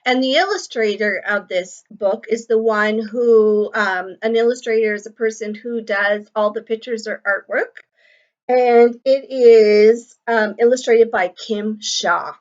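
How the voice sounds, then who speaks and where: A woman's voice: on the high side, steady tone, unhurried. A woman teacher reading a picture book aloud to children, explaining simply and checking in with questions, on slightly dull audio.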